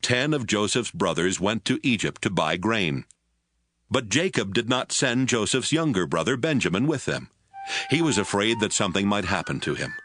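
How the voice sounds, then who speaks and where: A man giving scripted narration as the storyteller of a dramatized audio Bible. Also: fairly low pitch. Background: music.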